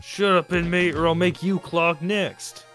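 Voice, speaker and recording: Gruff, bullying jailer for a game, voiced by a man, barked high and loud. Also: fairly even intonation, measured pace, faint music behind. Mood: angry, threatening. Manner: loud, barking, threatening. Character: gruff, threatening male prison guard. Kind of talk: live amateur voicing of game dialogue.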